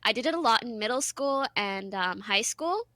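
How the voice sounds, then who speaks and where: A bright, fairly high-voiced young woman whose first language is French chats casually on a stream. She is animated and giggly and often trails off mid-sentence. Her voice sits dry and close to the mic. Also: normal speed, no room sound. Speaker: streamer guest. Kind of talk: casual chat.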